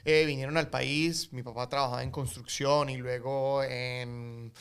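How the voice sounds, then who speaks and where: A middle-aged man with a Colombian accent, telling his life story as a guest in a relaxed interview, full of fillers and restarts. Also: medium pitch, unhurried, clean tone. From United States, Colombian family. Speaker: guest, actor and coffee entrepreneur. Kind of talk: casual interview storytelling in Spanish.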